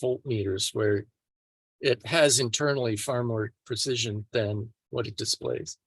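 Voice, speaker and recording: A man with a mid-range pitch and a dry sound, taking part in a meeting. He discusses technical matters casually. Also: steady tone, measured pace, breathy edge, no echo. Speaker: meeting participant.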